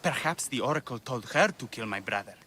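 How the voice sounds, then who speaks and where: Bright-voiced, grieving, vengeful man. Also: medium pitch, expressive, fairly quick. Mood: subdued, solemn. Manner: solemn, bitter. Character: grieving, vengeful male musician who lost his brother. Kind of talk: video game dramatic acting. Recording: faint ambient noise.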